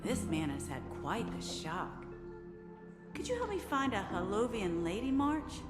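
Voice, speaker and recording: A woman voicing a polite, composed, welcoming character in a video game, unhurried, in long reverb with music behind. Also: mid-range voice, big pitch swings. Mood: composed, concerned. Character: composed, welcoming, worldly woman who tends to strangers.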